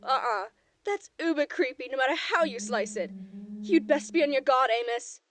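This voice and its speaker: A woman's voice: high-pitched, lively intonation, normal speed. A woman voicing a flustered, prickly younger girl who stammers and scolds in an audiobook drama. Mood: creeped out. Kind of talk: audiobook character acting.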